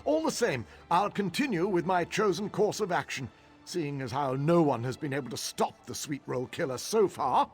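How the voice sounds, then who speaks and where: Fussy doom-minded townsman, higher voice that bounces all over, game music faint. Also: lively intonation, moderate pace. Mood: fussy, fatalistic. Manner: wordy, fussy, theatrical swings in tone. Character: fretful superstitious male townsman, fussy.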